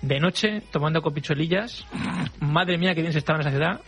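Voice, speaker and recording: Bright, fast-talking chatty man. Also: a little high, gravelly, soft background music. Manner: lively, chatty, enthusiastic. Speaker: radio co-host. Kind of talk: casual radio chat.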